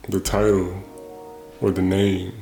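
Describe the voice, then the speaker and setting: A man's voice: low voice, completely flat delivery, slow, dark tone, roomy sound, faint music behind. A man giving a casual, reflective monologue in an informal, rambling way, repeating phrases for emphasis. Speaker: host of a personal talk show.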